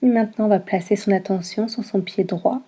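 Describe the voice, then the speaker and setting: A woman teaching yoga nidra, guiding a meditation in a calm, soothing manner with long pauses between short instructions. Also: mid-range voice, husky. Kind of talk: guided meditation, soft instruction.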